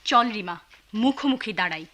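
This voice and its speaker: An actress playing a hot-headed, defiant young orphaned daughter in a radio play, challenging and indignant. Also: female voice, medium pitch, expressive, normal speed, pushed and bright. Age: young adult. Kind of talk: Bengali radio play acting.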